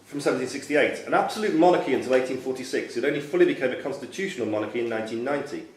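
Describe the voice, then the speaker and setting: A man's voice: mid-range voice, animated, rapid-fire. Echoey male quizmaster reading out questions. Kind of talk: reading quiz questions aloud. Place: indoor club room. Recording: echoey club room with background noise.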